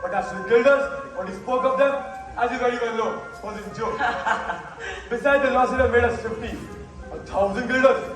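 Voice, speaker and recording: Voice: medium pitch, moderate pace, natural voice. A young student playing a pompous, scheming town mayor in a verse stage play, blustering and evasive. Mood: slippery. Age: teenager or younger student. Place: school stage in a large hall. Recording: very echoey hall from far off, music.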